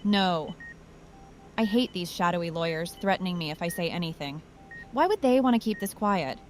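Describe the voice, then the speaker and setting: A woman's voice: lowish voice, lively intonation, normal speed, a little hiss or hum, natural voice. A woman playing an uneasy, caring hospital nursing sister in a horror audio drama, earnest and worried.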